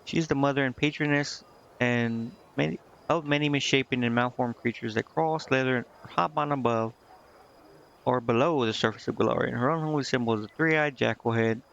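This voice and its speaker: A man's voice: medium pitch, normal speed, gravelly, a bit bright, lo-fi sound. A man streaming a game, reading its dialogue aloud with casual commentary and stumbling now and then before correcting himself. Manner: stumbles over read text and corrects himself. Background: game music.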